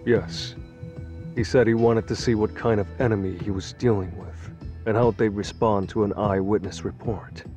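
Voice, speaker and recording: An actor playing a stern, guarded community leader in a game dub, speaking in measured, formal phrases. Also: male voice, fairly low pitch, fairly even intonation, over music, natural voice. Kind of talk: English video game dub acting.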